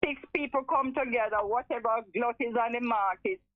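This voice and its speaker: A woman's voice: a little high, brisk, no echo. A 77-year-old woman with a Jamaican accent and a strong voice, speaking emphatically and repeating phrases as an impassioned radio caller. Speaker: radio call-in listener. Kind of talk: impassioned live phone-in talk. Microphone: telephone. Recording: thin, narrow telephone line.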